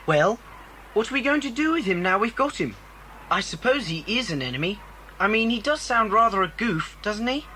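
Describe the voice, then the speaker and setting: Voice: mid-range voice, expressive, normal speed, bright, forceful tone. A performer playing a sensible, practical boy in a children's audiobook drama. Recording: faint hiss, old cassette.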